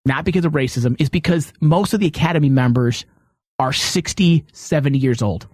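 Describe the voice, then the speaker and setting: A man's voice: on the high side, brisk, darkish tone, no room sound. A man hosting an opinionated panel talk, arguing his points emphatically. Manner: emphatic, argumentative. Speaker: podcast host.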